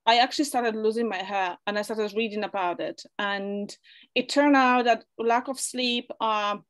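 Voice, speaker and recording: A woman's voice: a little high, fairly even intonation, moderate pace. Chatty young woman. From Poland. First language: Polish. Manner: chatty, laughs often, stumbles and restarts words. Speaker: guest, online English school owner. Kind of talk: interview testimonial.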